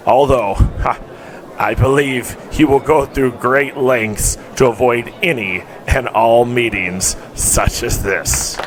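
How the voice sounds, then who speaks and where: An actor playing an older, proud wrestling promoter who makes measured, formal speeches in an audio drama. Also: male voice, medium pitch, close to an everyday voice. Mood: proud, assured.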